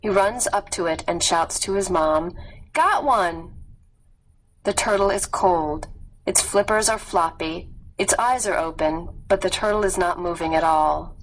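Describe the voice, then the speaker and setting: A woman reading scripted nonfiction as an audiobook narrator, measured and expressive. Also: fairly low pitch, low-quality recording.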